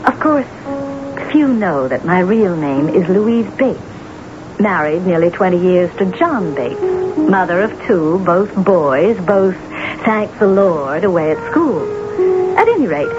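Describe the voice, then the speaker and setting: Theatrical, lively middle-aged woman novelist. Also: lowish voice, unhurried, loud music behind the voice. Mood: self-important, confiding. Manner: theatrical, self-important. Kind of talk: radio drama acting with first-person narration. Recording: noisy, dull old radio broadcast.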